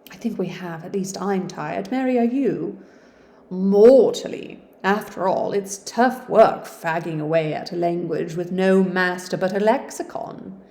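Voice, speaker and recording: A woman reading aloud lends her voice to a pair of studious young sisters, polite and refined. The voice stays mellow and well-spoken, with a roomy echo around it. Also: lowish voice, unhurried.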